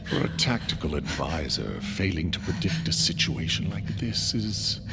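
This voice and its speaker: An actor playing a sinister, taunting impostor of a comrade in a video game cutscene, mocking and theatrical. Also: male voice, low voice, very animated, normal speed, gravelly, noisy background, exaggerated voice. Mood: cold, accusing.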